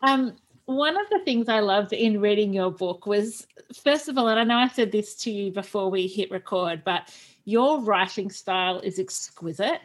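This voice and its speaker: A woman's voice: mid-range voice, lively intonation, moderate pace. A woman hosting a podcast, asking questions in an enthusiastic, chatty way. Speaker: podcast host. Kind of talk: casual interview chat.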